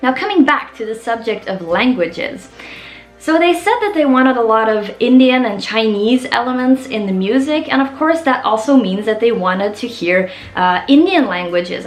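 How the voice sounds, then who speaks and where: Flemish woman chatting in an echoey room. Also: on the high side. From Belgium (Flanders). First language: Dutch (Flemish). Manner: chatty, friendly, with frequent "you know" fillers. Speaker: musician and video creator. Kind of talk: casual behind-the-scenes talk to camera.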